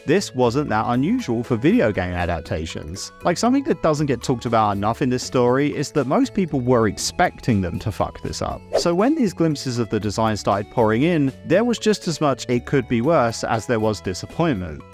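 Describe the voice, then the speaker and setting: A man narrating a scripted video essay as host, casual and opinionated, with wry asides and the odd swear word. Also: mid-range voice.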